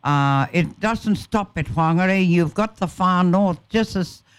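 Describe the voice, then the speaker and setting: A man with a New Zealand accent hosting a radio interview in an unhurried, conversational way. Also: high voice, clear, resonant tone, dry recording. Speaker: radio host.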